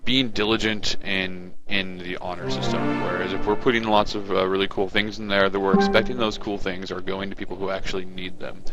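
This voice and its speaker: A man, a guild officer, laying out guild rules over voice chat in a halting, rambling way, his mic overloading and scratchy. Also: low voice, level tone, over loud music. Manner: rambling, halting, many fillers. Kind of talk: casual voice chat announcement. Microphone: overloading headset mic.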